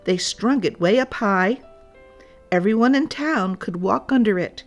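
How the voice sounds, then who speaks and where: A woman narrates a children's storybook in a medium-pitched voice. She goes slowly and deliberately, speaking to young listeners. Faint music plays behind her. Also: lively intonation.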